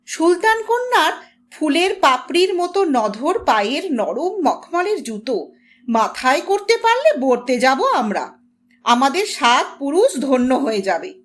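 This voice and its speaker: A woman narrates a story in a high voice with a wide, swooping melody. Her delivery is unhurried and theatrical, shaped like expressive audiobook storytelling that acts out each part of the tale. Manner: theatrical, acting out dialogue.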